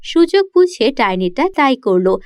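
High-pitched, animated female storyteller. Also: normal speed, clear, resonant tone, no echo, studio quality. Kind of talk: scripted children's fairy-tale narration.

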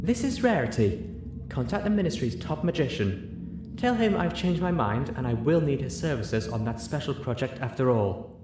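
A man voicing an elegant female unicorn in a story reading, choked up and straining to hold herself steady. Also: moderate pace, lightly acted. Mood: sad determination. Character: elegant female unicorn, distraught but forcing composure. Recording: roomy echo, audible noise, low rumble.

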